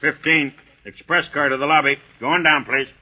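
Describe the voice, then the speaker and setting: An elevator operator chanting floor calls in a sing-song, routine manner. His voice is raised and bright, heard through a dull old recording. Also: a little high, steady tone, moderate pace.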